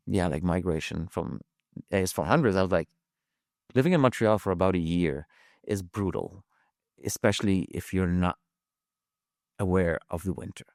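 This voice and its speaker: Low-voiced man telling his story. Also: vocal fry, a little mellow, no echo, studio quality. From Lebanon. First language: French. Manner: halting, many restarts and fillers, swears casually. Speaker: podcast guest. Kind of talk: casual storytelling.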